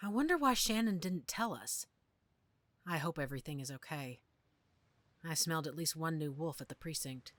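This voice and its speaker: A woman's voice: low-pitched, animated, moderate pace, long pauses, slight background noise. A woman acting a composed, inquisitive female werewolf pack leader in an audio drama. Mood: concerned.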